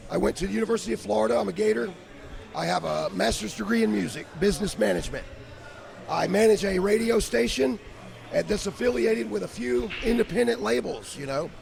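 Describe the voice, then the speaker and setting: A man interviewing a band outdoors at a noisy event, talking loudly and chattily with music behind. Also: high-pitched, creaky. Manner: loud, chatty, name-dropping. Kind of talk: casual interview.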